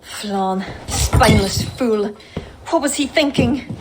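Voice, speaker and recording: An actress playing a proud, fierce druid poetess in a video game, formal and indignant in manner. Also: female voice, on the high side, slow pace, noisy background. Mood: bitter anger. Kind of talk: dramatic video game cutscene acting. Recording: music behind the voice.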